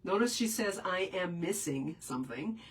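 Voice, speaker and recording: Woman w a head cold, deep voice, kinda rambly, lots of ums, mic sits a bit far off. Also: lively intonation, normal speed. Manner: meandering, many fillers and restarts. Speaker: spiritual teacher answering viewer questions. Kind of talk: casual live Q&A.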